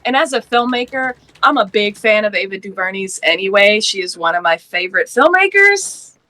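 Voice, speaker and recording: A woman's voice: mid-range voice, animated, normal speed, sharp tone. A woman in her late thirties from Georgia, talking earnestly and passionately in a casual panel discussion, laughing now and then. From Georgia, US Deep South. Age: 30s.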